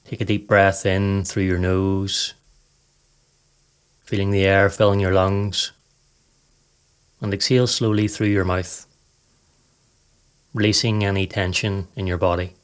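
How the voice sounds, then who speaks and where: A man narrating a scripted guided meditation in a calm, measured, soothing way. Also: sits low.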